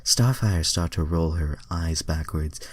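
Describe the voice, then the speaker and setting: A man's voice: extremely low pitch, unhurried, darkish tone, no room sound, clean studio sound. A man narrating a fan-written story in an amateur, stumbling way, with casual self-deprecating asides. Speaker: reader of fan fiction.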